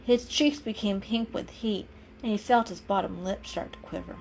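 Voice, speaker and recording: A woman narrating a children's story in an expressive, dramatic reading style. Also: mid-range voice, moderate pace, gravelly, rough recording. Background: soft music.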